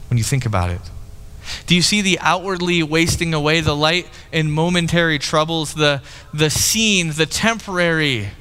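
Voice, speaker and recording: Man, 30s, pastor; conversational sermon, higher voice, reverberant hall. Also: sharp tone. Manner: conversational, candid, with frequent restarts and "like".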